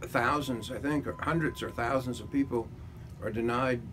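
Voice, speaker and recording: Guy explaining his opinion, medium pitch, measured, noisy background. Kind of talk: considered interview answer. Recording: clear background ambient noise.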